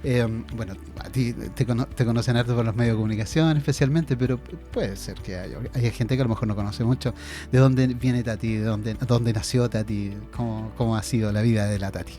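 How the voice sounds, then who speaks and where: A man hosting a local radio interview, speaking in a hesitant way with many pauses and restarts. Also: medium pitch, steady tone, warm tone, soft background music. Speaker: radio host. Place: radio studio.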